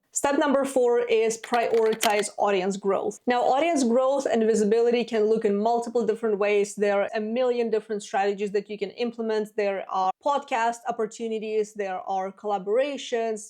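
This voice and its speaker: A woman's voice: a little high, darkish tone. A woman in her twenties whose first language is Russian, hosting a solo business advice show in a direct, practical way. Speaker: business podcast host.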